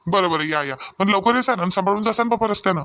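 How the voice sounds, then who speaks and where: A man's voice: high voice, rapid-fire, sharp tone. A man voicing an easygoing, inquisitive young villager in a cartoon comedy, speaking casual, colloquial Varhadi Marathi. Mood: curious. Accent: Varhadi Marathi from Vidarbha.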